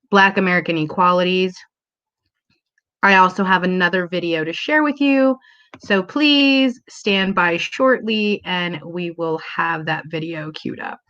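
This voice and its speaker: A woman hosting a livestream, speaking slowly and casually as she introduces the videos she is playing. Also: lowish voice, a bit bright, smooth. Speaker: livestream host. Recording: dull lo-fi stream audio.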